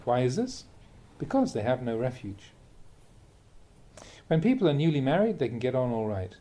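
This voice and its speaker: A man, a Buddhist monk, reads a translated spiritual talk aloud in a measured, emphatic way, posing rhetorical questions. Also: medium pitch, big pitch swings, dark tone. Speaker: Buddhist monk reading a teacher's talks aloud. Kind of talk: reading a translated Dharma talk aloud, with brief asides.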